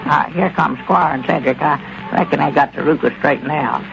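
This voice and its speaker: An actor playing a country storekeeper with a folksy drawl in a 1930s radio comedy. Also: male voice, fairly high pitch, moderate pace, gravelly, a bit bright, faint music behind. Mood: easygoing. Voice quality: folksy rural drawl with plain country grammar. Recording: lo-fi old radio broadcast.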